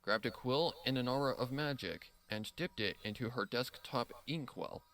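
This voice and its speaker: A man's voice: mid-range voice, normal speed, fairly bright tone. A man narrating a scripted story, reading expressively and dramatically.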